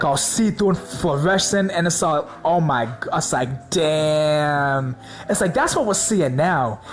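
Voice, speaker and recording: A man preaching in a casual, slangy way, hoarse from a sore throat, excited and repeating words for emphasis. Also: fairly high pitch. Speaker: religious video host.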